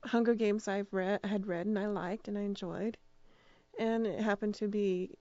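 A woman's voice: medium pitch, fairly even intonation, normal speed, mellow, no room sound, muffled recording. A woman speaking as a radio drama producer and director, giving casual answers in an interview.

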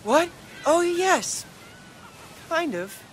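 A woman's voice: very high voice, lively intonation, extremely slow, a little hiss or hum, exaggerated voice, rough recording. A woman playing an awkward, timid, good-natured teenage boy hero in a video game, hesitant and easily flustered. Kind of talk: video game cutscene acting.

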